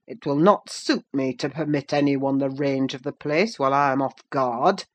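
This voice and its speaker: A woman's voice: extremely low pitch, moderate pace. A woman voicing a stern, inhospitable male master of a farmhouse in a classic novel, curt, harsh and commanding. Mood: stern, surly.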